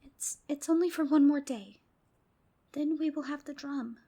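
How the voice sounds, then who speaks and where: A woman's voice: sits high, unhurried, warm tone, voice pushed up. A woman voicing naughty little sisters of five and seven, eager and pleading, in an ASMR folk tale. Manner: pleading, childish, earnest. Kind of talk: whispery ASMR storytelling.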